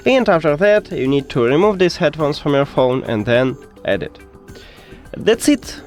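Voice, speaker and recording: A man presenting an unscripted step-by-step tech tutorial in simplified non-native English, halting often with filler sounds. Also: a little high, sing-song, a little mellow, faint music behind. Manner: hesitant, halting delivery with frequent filler sounds. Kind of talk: unscripted step-by-step how-to narration.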